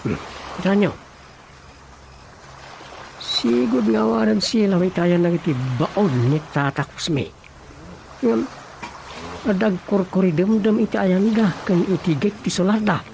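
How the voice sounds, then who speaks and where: A man's voice: high voice, measured pace, vocal fry, pauses often, a little mellow, a little hiss or hum, poor audio. A man with an Ilocano Filipino accent playing a teasing, watchful village councillor in a radio drama. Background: music.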